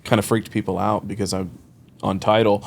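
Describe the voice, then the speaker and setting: A man's voice: lowish voice, level tone, fairly quick, vocal fry. A man in his early thirties, a loan officer, telling his career story in a casual interview, acting out quoted conversations. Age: 30s. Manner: rambling storyteller, acts out quoted dialogue, laughs often. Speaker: guest, mortgage loan officer.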